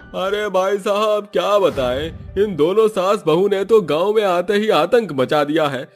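A man's voice: high voice, unhurried, soft background music. A man voicing a resentful, nervous, scheming village shopkeeper in a cartoon, complaining in exclamations. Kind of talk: Hindi cartoon story acting.

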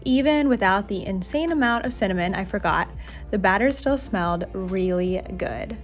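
A woman's voice: medium pitch, animated, normal speed. A young woman narrating a home cooking video in a chatty, self-deprecating way, over light background music. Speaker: cooking vlogger. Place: home kitchen.

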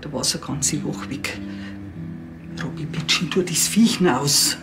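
A woman's voice: sits low, measured pace, gravelly, music in the background. A woman playing a weary, matter-of-fact middle-aged mother in a TV crime drama. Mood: resigned. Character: resigned, apologetic middle-aged mother and wife. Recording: faint background noise.

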